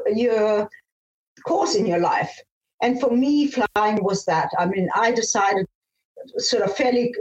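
An older woman speaks with eager enthusiasm about her life, often circling back to restart a phrase. Her voice sits a little high. The sound is echoey and dull, like a remote call in a bare room. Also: darkish tone. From Namibia. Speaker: guest, former airline pilot. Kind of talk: interview storytelling.

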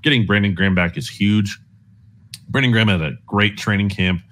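Deep-voiced man talking football analysis. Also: brisk, fairly bright tone. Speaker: sports analyst guest.

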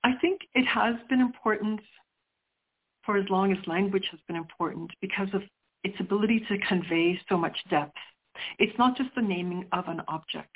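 A woman's voice: medium pitch, normal speed, slightly rough. A middle-aged woman, a poet whose first language is Sicilian, answering interview questions in a reflective way and often restarting as she searches for words. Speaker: poet guest. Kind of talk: interview conversation. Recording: tinny phone line.

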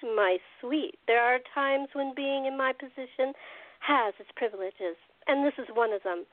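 A wicked, wealthy 1920s screen diva in her forties played by a woman purrs through a radio play. Her high voice is gushing and coquettish, captured dry and thin like a phone line. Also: normal speed, no room sound, close to an everyday voice. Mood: sly, languid. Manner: gushing, coquettish, drawling endearments. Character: wicked, reckless, rich forty-year-old silent-film diva hostess.

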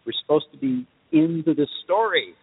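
A man with a husky voice explains his points in a deliberate, emphatic way, as in a podcast discussion. The sound is narrow and phone-like, with a long reverberant tail. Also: fairly high pitch, lively intonation. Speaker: guest film composer.

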